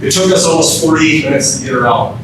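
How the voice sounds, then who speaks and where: An American man giving an informal training lecture as a keynote speaker, often quizzing his audience with questions. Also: fast talker. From Minnesota, United States. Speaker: emergency physician, keynote speaker. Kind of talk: interactive training lecture to firefighters. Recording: very echoey room, distant mic, rumbling noise.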